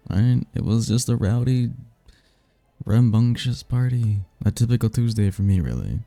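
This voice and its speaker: A man playing a smooth-talking demon prince in an audio roleplay, teasing and smug, with a low, husky, gravelly delivery. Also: warm tone, no room sound, full character voice. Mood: playfully dismissive. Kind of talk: audio roleplay, ASMR-style voice acting.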